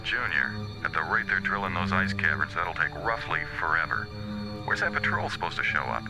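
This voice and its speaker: A sardonic smuggler turned rebel captain in a space radio play. His voice is on the low side but swings about expressively, wry and grumbling, over loud music. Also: lively intonation, brisk, poor audio. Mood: sardonic, relaxed. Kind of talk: space adventure audio drama acting.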